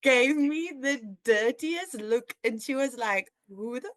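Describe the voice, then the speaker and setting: A young woman with a South African accent, chatting casually as a podcast host and telling stories in an animated, chatty way. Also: high-pitched, slow, dry recording. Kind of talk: casual chat with a friend.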